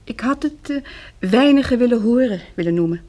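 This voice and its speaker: An actress in a radio drama playing a poised, frank young woman survivor, worldly and self-mocking, in soft, intimate dialogue with a husky, breathy voice. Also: a little high, animated, fairly quick, lightly acted. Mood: dry, matter-of-fact.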